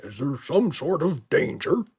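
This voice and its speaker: Man doing a gravelly, jovial stout monster. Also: on the high side, sing-song, a little slow, a little mellow, voice pushed up, exaggerated voice. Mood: unworried. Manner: jovial, chummy, self-assured. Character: stout, friendly, confident tusked green monster. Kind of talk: children's audiobook character.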